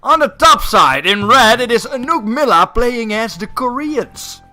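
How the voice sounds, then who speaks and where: Bright-voiced man casting a game tournament. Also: very high-pitched, sing-song, unhurried, faint music behind. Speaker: esports tournament commentator and host. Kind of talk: live game commentary.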